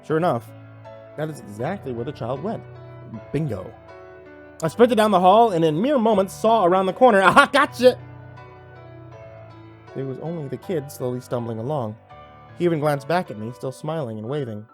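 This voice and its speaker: Animated man narrating a horror story. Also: on the high side, normal speed, pauses often, soft background music. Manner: theatrical, expressive. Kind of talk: dramatic first-person scripted narration, acting out dialogue.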